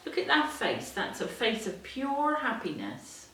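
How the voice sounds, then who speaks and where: Very animated woman reading a children's storybook. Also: a little high, measured pace, a little hiss or hum. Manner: expressive, storytelling. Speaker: primary school teacher reading aloud. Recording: echoey room, distant mic.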